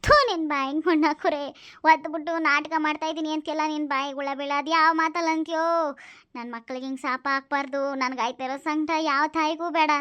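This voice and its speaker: A woman's voice: high voice, fairly even intonation, fairly quick, bright, forceful tone. A woman playing a suffering village mother in a rural melodrama, wailing and bitterly cursing her cruel son. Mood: pained, cursing. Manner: wailing, lamenting.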